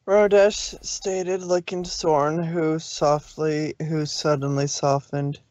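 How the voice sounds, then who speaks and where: Slow-paced man narrating a story. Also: fairly high pitch, flat delivery, a little mellow, no room sound, muffled recording. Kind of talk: scripted storybook narration of fan fiction.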